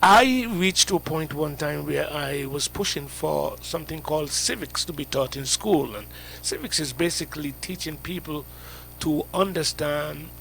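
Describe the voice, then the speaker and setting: A man hosting a live radio interview, speaking in a measured, reflective way. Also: on the high side, gravelly, fairly bright tone, a little hiss or hum, poor audio. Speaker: radio host.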